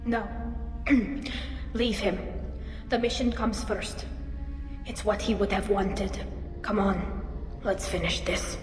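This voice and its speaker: A woman playing a young, loyal alien engineer in a video game, grieving and halting through a helmet filter. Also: mid-range voice. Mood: subdued resolve. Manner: emotional, halting, earnest. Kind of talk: dramatic video game acting. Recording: echoey reverberant hall, loud music behind.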